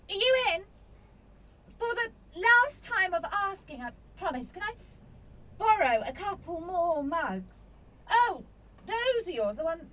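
A woman's voice: very high voice, a bit bright, slight background noise, exaggerated voice. A woman playing a scatty, overworked young student in a radio play, breezy, blunt and rambling as she talks. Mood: chatty, scatty. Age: young adult. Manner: breezy, rambling, self-interrupting. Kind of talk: naturalistic radio play acting.

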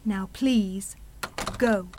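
A woman's voice: medium pitch, extremely slow, background noise. An actress in a radio play playing a bitter, fiercely protective young mother, indignant and cutting in her delivery. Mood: bitter, furious.

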